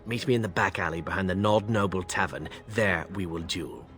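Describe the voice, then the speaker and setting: A man's voice: low-pitched, fairly quick, fairly bright tone. A man playing a proud, stern, honour-bound knight in a video game, formal and declamatory. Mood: indignant. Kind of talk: video game character acting.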